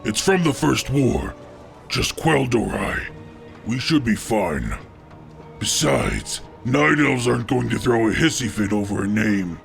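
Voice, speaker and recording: Man as a very gravelly, gruff orc sergeant. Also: mid-range voice, animated, measured pace, faint music behind. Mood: gruff, dry. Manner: gruff, blunt. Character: gruff old orc male soldier, blunt sergeant. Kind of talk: radio play acting.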